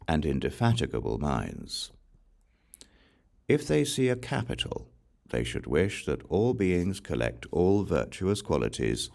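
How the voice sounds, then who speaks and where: A man reading scripture aloud as an audiobook narrator, in a measured, solemn recitation. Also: rumbling low, vocal fry, a little mellow. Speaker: audiobook reader.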